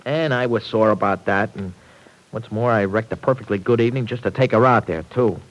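An actor playing a cocky, big-hearted young rancher with slangy, folksy talk in an old-time radio serial. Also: male voice, fairly low pitch, a little fast, lightly acted, rough recording. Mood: rueful, casual. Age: young adult.

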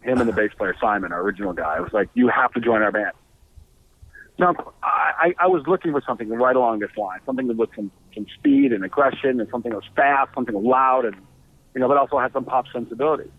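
A man's voice: medium pitch, lively intonation, fast, vocal fry, dry recording. A man telling stories as a musician guest in a chatty, loose way over a phone line. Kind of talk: casual interview storytelling.

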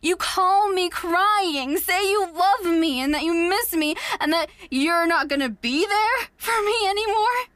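A woman's voice: extremely high pitch, animated, unhurried, few pauses, fairly bright tone, no echo, full character voice. A woman voicing a manipulative, controlling young woman who mocks and belittles her partner. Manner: mocking, condescending, venomous. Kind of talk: audio drama acting.

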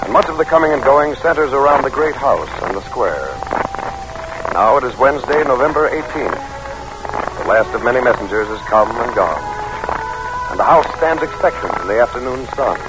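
A man announcing and narrating in 1940s radio style, formal, measured and dramatic. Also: medium pitch, animated, poor audio. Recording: old radio recording with music.

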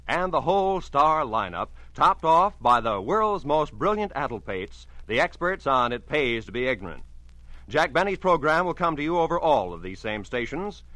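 A man's voice: a little high, sharp tone, no room sound, poor audio. A man reading scripted announcements as a polished, promotional 1940s radio announcer.

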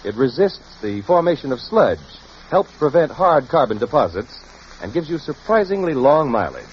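A man's voice: fairly high pitch, very animated, moderate pace. A man announcing on a vintage radio show with a folksy, emphatic sales delivery. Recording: muffled, hissy vintage radio recording.

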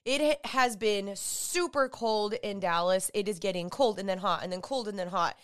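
A young woman hosts her podcast in a chatty, upbeat way, peppering her talk with "like". Her voice is smooth and clear even through a stuffy, congested nose, and it sits at a middle pitch. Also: moderate pace. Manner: chatty, upbeat, with filler likes. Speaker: podcast host. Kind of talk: casual solo podcast talk.

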